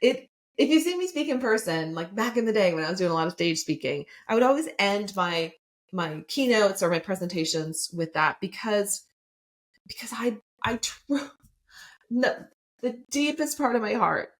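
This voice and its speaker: A woman's voice: medium pitch, expressive, normal speed. Upbeat woman in her fifties hosting. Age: 50s. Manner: enthusiastic, chatty, frequent "right?". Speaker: podcast host and coach. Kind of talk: solo podcast, motivational talk.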